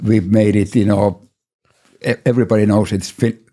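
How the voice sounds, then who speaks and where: A man's voice: fairly low pitch, flat delivery, normal speed, mellow. A man speaking as a guest in a casual panel conversation, giving plain, brief answers. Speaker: guest, sauna business owner.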